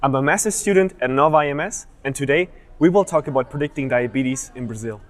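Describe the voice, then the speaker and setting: A man in his twenties from Germany, a master's student giving a clear, methodical scripted presentation. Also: mid-range voice, fast. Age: 20s.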